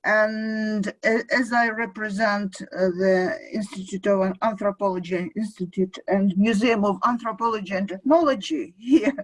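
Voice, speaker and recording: A woman from Russia, a museum researcher, speaking haltingly over a video call with many fillers and repeated words. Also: mid-range voice, steady tone, no echo, low-quality recording. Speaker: museum researcher asking a question.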